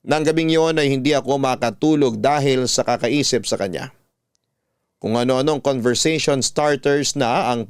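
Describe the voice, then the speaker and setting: A man's voice: medium pitch, clear, resonant tone, no echo, clean studio sound. A man narrating a scripted first-person love story as the host of a storytelling program. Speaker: host reading listener love stories.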